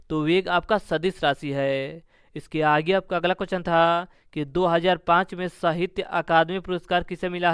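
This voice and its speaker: A man with a high, very clear voice teaches exam questions one by one. His delivery is methodical and repetitive in its phrasing. The recording is dry and close, without room sound. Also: a bit bright, dry recording. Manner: methodical, repeats set phrases before each question and answer. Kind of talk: exam question-and-answer lesson.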